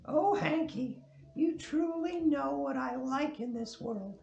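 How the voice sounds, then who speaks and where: Elderly wealthy aunt, high voice, slow delivery, imperious yet coquettish, film script read, dull roomy sound. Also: female voice, gravelly, warm tone, a little hiss or hum, heavily acted. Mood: flirtatious, doting. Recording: dull, echoey room recording.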